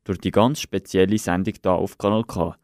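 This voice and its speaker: Low-voiced Swiss German radio host, dry sound. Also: male voice, fairly even intonation, moderate pace, darkish tone, no room sound. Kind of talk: scripted radio presentation.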